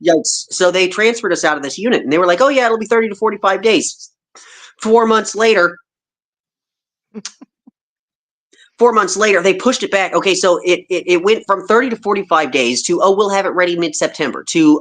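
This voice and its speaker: A man co-hosting a relaxed online chat, talking in an animated, ranting way. He tells stories and slips into quick voices to mimic other people. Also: high voice. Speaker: co-host. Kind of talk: casual livestream chat.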